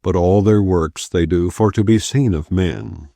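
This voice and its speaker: A man giving a solemn, measured, non-dramatized reading of scripture from the Bible. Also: sits low, vocal fry, mellow, no echo, studio quality. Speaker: Bible reader.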